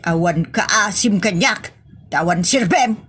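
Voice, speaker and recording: A woman voicing a nagging, quick-tempered wife in a comedic audio drama, complaining and scolding. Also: mid-range voice, a bit bright, a little hiss or hum.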